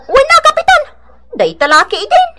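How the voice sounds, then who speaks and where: Feisty Ilocano village gossip for radio drama: piercing, swooping, defiant. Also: female voice, extremely high pitch, wildly swooping pitch, moderate pace, sharp tone, slight background noise, full character voice. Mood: indignant, defensive. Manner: theatrical, scoffing, exclaiming. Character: feisty village gossip woman, brazen, defiant, quick to scoff.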